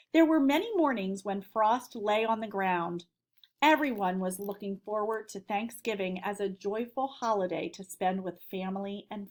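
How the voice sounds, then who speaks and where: A woman with an American accent and a fairly high voice speaks as an elementary teacher. She guides children through a read-aloud lesson with simple, clearly phrased questions and explanations. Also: darkish tone.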